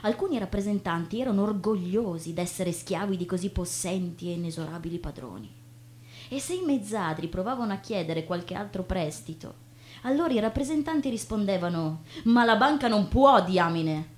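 Woman giving a deliberate dramatic literary reading. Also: medium pitch, lively intonation. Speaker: stage reader.

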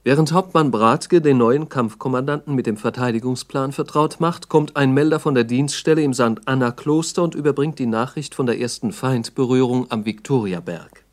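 A man's voice: mid-range voice, normal speed, hardly any pauses. A man giving scripted documentary narration in a radio play, sober and matter-of-fact.

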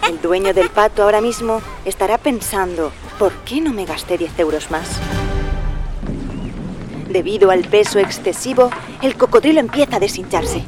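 An actress playing a solemn, deadpan female narrator of a parody nature documentary on radio. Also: medium pitch, normal speed, over music, lightly acted. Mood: deadpan gravity.

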